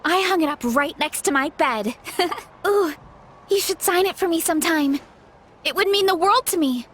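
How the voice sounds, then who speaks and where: A woman's voice: very high-pitched, lively intonation, normal speed, fairly bright tone, a little hiss or hum. An actress playing a sweet, easygoing young farm girl in a video game, eager, modest and polite. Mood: eager, admiring. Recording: music playing behind the voice.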